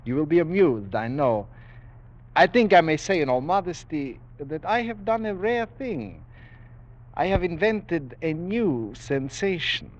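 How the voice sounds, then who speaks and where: A man's voice: fairly high pitch, big pitch swings, a little slow. A suave aristocratic general and hunter in a vintage radio drama. He is courtly, menacing and boastful, savoring each word with swooping intonation. The old 1940s broadcast sounds dull and narrow. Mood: smugly confiding. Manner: courtly, formal, coolly boastful, savoring his words. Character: suave, menacing aristocratic general who hunts men for sport.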